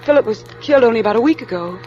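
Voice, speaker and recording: Genteel young widow played by an actress, apologetic and halting, fairly high voice; vintage radio drama, muffled, music underneath. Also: female voice, lively intonation, lightly acted. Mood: subdued, grieving. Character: polite, shaken young widowed mother, softly apologetic. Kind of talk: 1940s radio acting.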